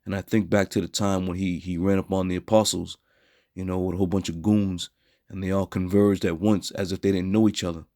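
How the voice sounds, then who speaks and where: A hoarse man teaching scripture in a blunt, scornful way, with a frequent "all right?". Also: low voice, level tone, fairly quick, dark tone, no room sound, studio quality. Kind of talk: street preaching scripture lesson.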